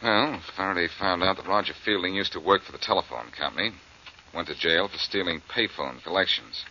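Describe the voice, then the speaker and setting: An actor playing a glib, confident, sardonic amateur detective in 1940s radio drama style. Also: male voice, low voice, fairly quick, bright, forceful tone, slight background noise, full character voice. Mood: determined. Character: wisecracking confident amateur detective, adult man. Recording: dull old radio recording.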